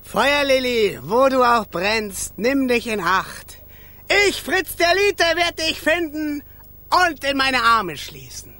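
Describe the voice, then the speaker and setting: An actor playing a devoted, excitable male water spirit, declaiming in a children's radio play. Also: very high voice, takes it slowly, exaggerated voice. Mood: lovelorn, solemn. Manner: exclaiming, declamatory.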